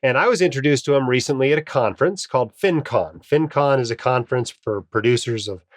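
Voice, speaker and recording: Man, podcast host, mid pitch, slightly nasal from a cold, upbeat chatty delivery, dry close sound. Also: brisk, no room sound. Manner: upbeat, chatty, frequent "uh" fillers. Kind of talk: casual podcast monologue and interview intro.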